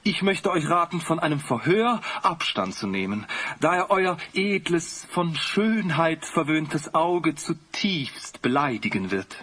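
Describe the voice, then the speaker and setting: An actor playing a servile, formal officer at a royal court in an audio drama. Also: male voice, a little high, sing-song, a little slow, fairly bright tone, exaggerated voice. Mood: deferential. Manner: formal, obsequious. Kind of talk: German audio drama acting.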